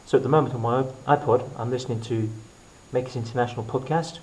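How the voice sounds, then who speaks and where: A man presenting a hands-on science demonstration as a teacher, explaining to camera in short, deliberate phrases. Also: medium pitch, steady tone. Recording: clearly noisy recording with clicks.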